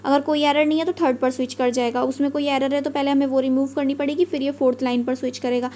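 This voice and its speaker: A young woman explains computer software in a lecture, patiently and with everyday examples. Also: high voice, a little hiss or hum. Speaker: computer teacher.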